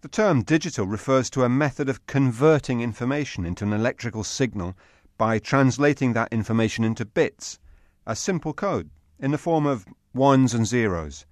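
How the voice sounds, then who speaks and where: A man's voice: medium pitch, expressive, normal speed, dry recording, lightly acted. A man playing a knowledgeable TV technical director who explains things in a businesslike way. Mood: explaining. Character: eager male TV technical director, knowledgeable, instructing.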